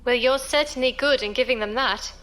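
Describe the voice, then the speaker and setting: A woman's voice: sits high, brisk, a bit bright. An actress playing an earnest, tender-hearted female passenger among space pirates in a science fiction audio drama. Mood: earnest, determined.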